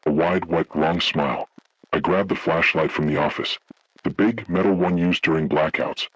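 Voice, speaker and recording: A man voicing a haunted, grave survivor, narrating a scripted first-person horror story in slow, deliberate, ominous phrases with a gravelly, husky voice. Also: low-pitched, steady tone, fairly bright tone, no room sound, highs cut off. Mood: grave dread. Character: haunted man recounting childhood motel horror, grave, dread-filled.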